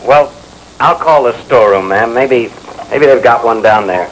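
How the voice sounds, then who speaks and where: An American actor playing a harried, polite telephone installer who speaks in a hesitant, placating, folksy way. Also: male voice, mid-range voice, moderate pace, background noise, lightly acted. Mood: sheepish. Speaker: actor in a training film. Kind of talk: 1960s training film acting. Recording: old film soundtrack, music behind.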